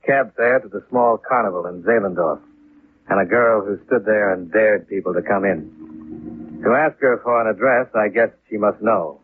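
An American man playing a terse, world-weary insurance investigator in a 1950s radio detective drama, speaking in a clipped, deadpan way. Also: fairly low pitch, moderate pace, a little hiss or hum, close to an everyday voice. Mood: dry, businesslike. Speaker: lead radio actor and narrator. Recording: muffled, narrow-band vintage 1950s radio.

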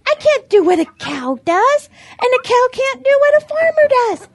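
A woman voicing a gentle, pious chicken in an audio drama, speaking in an earnest, patient, preachy way. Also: very high-pitched, sing-song, normal speed, no room sound, heavily acted. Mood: earnest, preaching.

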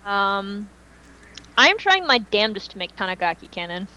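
A woman's voice: medium pitch, big pitch swings, normal speed, bright, forceful tone, rough recording. A woman podcast host chatting casually and giving her opinions, with plenty of "like" and some swearing. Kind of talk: casual fan chat.

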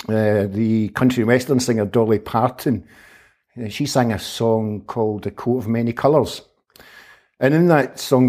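A man, a humanitarian aid worker giving measured, thoughtful answers as an interview guest. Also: mid-range voice, warm tone. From Scotland. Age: 50s. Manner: measured, thoughtful, qualifies his words. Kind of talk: reflective interview conversation.

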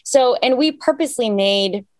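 A woman's voice: fairly high pitch, normal speed, a little mellow, no echo. Chatty young woman singer, dry sound. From Cyprus. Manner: chatty, filler-heavy, upbeat storytelling. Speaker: singer guest. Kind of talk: casual interview chat.

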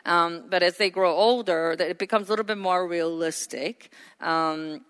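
Woman pastor, earnest sermon, lower voice, bright tone. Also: moderate pace, smooth. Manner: earnest, asks the congregation questions. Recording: hall reverb.

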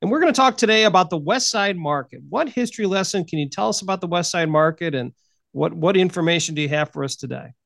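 A man's voice: high voice, animated, fast talker, creaky, a bit bright, no room sound, clean studio sound. An American man hosting a local radio show, presenting upbeat news and interviews.

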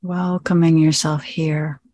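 A woman guides a meditation in a slightly low voice. She speaks very slowly, in short, gently inviting phrases separated by long pauses. The recording is dry and close, with no room echo. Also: level tone, highs cut off. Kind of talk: guided meditation, slow spoken instruction.

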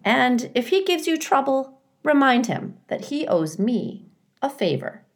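A high, squawking storybook goose, acted out by a woman reader, bossy and blunt. She fusses in motherly fashion, swooping emphatically through her opinions. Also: sing-song, measured pace, heavily acted. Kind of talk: children's storybook character reading.

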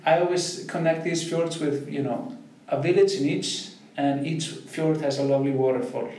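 A man's voice: on the high side, steady tone, moderate pace, darkish tone. A man from South Iceland with an Icelandic accent, a podcast host chatting in a rambling, easygoing way and laughing easily. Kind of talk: casual conversation. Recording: roomy echo, distant from mic.

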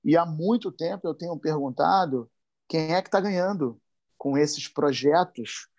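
A man's voice: on the high side, measured pace, a little mellow, lo-fi sound. A man from Brazil with a Carioca accent, giving an impassioned talk full of slangy asides. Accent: Carioca Portuguese. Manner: emphatic, rising and speeding up when worked up, slangy asides.

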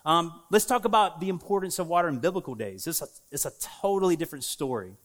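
A man's voice: fairly high pitch, lively intonation, fast, fairly bright tone. Southern-accented man preaching casually. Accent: Southern American. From United States. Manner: casual, conversational preaching with fillers and asides. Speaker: pastor. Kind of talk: sermon. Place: church sanctuary.

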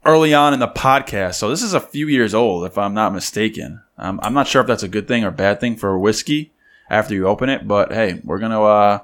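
A man's voice: fairly low pitch, brisk. A man co-hosting a podcast, chatting casually across a table and telling jokey stories. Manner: joking, animated storyteller. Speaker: podcast co-host. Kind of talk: casual chat. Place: studio table with a co-host.

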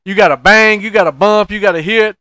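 A man's voice: sits high, fairly quick, bright, forceful tone, clean tone, dry recording. A man, a podcast co-host who banters casually and teases with wisecracks. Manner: teasing, wisecracking.

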